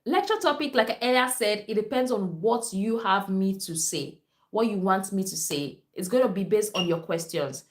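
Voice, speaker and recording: A woman's voice: medium pitch, expressive, moderate pace. A Nigerian-accented woman whose first language is Esan, a nurse hosting a live stream, reading viewer comments and repeating herself as she talks straight to her audience. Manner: repetitive, addresses viewers directly.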